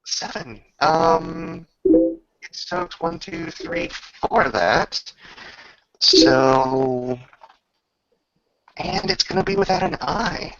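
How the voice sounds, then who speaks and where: Gravelly, animated game master. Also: mid-range voice, extremely slow, pauses often. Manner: informal, swearing. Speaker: game master of a tabletop roleplaying session. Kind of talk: casual tabletop game refereeing. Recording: muffled lo-fi with faint noise.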